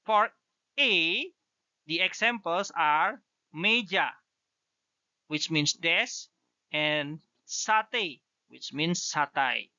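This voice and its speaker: Indonesian accented guy teaching vowels super slow, bright voice, muffled lo-fi audio. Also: fairly high pitch, animated, no echo. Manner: slow, deliberate, spells out example words one by one. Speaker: language teacher. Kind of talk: slide-based pronunciation lesson. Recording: dull lo-fi dry recording.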